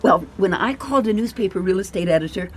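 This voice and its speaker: A man playing an eager, inquisitive office assistant to a detective in a radio mystery, with a creaky voice. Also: high-pitched, lively intonation, fast talker, slight background noise. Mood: excited, revealing. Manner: inquisitive, keen. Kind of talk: radio mystery drama acting.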